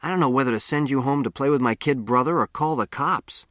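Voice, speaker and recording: A man acts out a cocky small-town American boy in a storybook reading. The voice rises above a low natural register, slangy and mocking, in very dry sound. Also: quick pace, fairly bright tone, no echo, close to an everyday voice. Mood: teasing, baffled. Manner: slangy, teasing, challenging.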